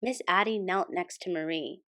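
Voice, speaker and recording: A woman hosting a storytime and reading a children's picture book aloud as expressive scripted narration. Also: fairly low pitch, moderate pace. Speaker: storytime host and reader.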